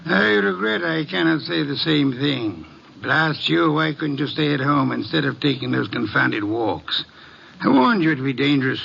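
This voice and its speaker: A haughty, testy older sleuth, very gravelly and bright-edged, speaking in grand formal phrases. It sounds like a dull old radio mystery. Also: male voice, fairly high pitch, lively intonation, normal speed, slight background noise, exaggerated voice. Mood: exasperated. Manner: pompous, formal, elaborate diction. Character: pompous, irritable older male genius detective. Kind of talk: 1940s-50s radio detective acting. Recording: dull vintage radio recording.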